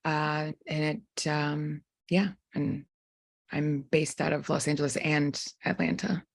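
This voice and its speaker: Low-voiced reflective woman filmmaker. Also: level tone, slow, gravelly. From North Carolina. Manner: reflective, many ums and likes. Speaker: filmmaker panelist. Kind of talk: casual panel answer.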